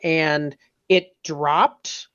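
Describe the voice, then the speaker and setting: A man's voice: fairly high pitch, steady tone, extremely slow, a bit bright, smooth, muffled recording. A man co-hosting a podcast, chatting casually and bantering with friends. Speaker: podcast co-host.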